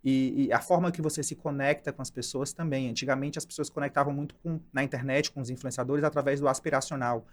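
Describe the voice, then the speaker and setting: A man's voice: mid-range voice, steady tone, quick pace, few pauses. A man from Ceará with a Northeastern Brazilian accent, hosting an interview in a casual, chatty way and often adding "né?". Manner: chatty, thinks aloud with restarts and "né?" tags. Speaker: podcast host.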